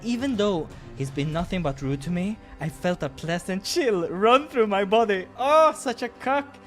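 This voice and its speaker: Animated man narrating a game playthrough. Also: high voice, moderate pace. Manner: playful, theatrical, giggly. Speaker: gaming host narrating a playthrough. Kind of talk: visual novel read-aloud with casual reactions. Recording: music behind the voice.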